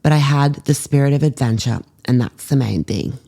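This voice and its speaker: Casting: a woman voicing a fond old grandfather, low and gravelly, reading an affectionate letter in old-fashioned style. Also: moderate pace, dark tone. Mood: nostalgic, proud. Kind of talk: letter read aloud.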